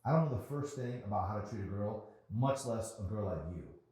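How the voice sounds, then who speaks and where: A man's voice: on the low side, expressive, fairly quick, a little mellow, voice pushed up, close to an everyday voice. A man voicing an awkward, defensive, reluctant teenage boy in a novel reading. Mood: defensive, grudging. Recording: very echoey room.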